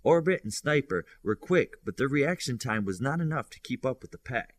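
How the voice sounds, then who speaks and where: A man's voice: mid-range voice, expressive, normal speed, no echo. A man reading a scripted science fiction audiobook as its narrator.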